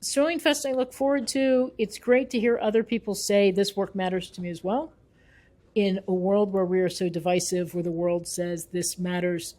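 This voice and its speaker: A woman's voice: fairly low pitch, mellow. A woman speaking as an interview guest and researcher, earnest and emphatic, repeating phrases for weight. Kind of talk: thoughtful spoken interview.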